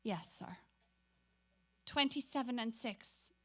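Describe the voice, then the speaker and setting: A woman's voice: a little high, slow, sharp tone, full character voice. A woman playing a bored, gossipy young typist who turns dutiful on the telephone, in a dramatic stage reading.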